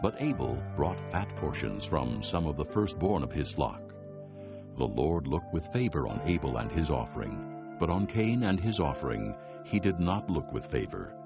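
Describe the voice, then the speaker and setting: An extremely deep male voice narrates scripture with solemn deliberation and wide, expressive intonation. The recording is slightly dull, with loud music underneath. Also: moderate pace. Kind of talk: dramatized audiobook scripture narration. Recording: dull band-limited recording.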